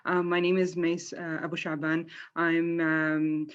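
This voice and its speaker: A panelist from Palestine introducing themself in a polite, measured way with some hesitations, heard over a video call. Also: medium pitch, level tone, smooth, highs cut off. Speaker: panelist, government worker.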